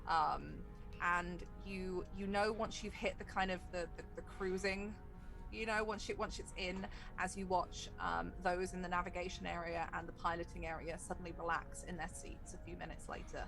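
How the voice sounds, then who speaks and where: A woman's voice: fairly low pitch, fairly even intonation, moderate pace, gravelly, over music, poor audio. A woman acting as game master, improvising descriptive narration for a tabletop roleplaying game.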